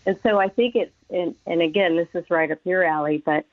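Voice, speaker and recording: A woman's voice: fairly low pitch, brisk, no room sound, narrow, tinny audio. A woman speaking as a mental health professional in a radio interview, earnest and explaining with many fillers.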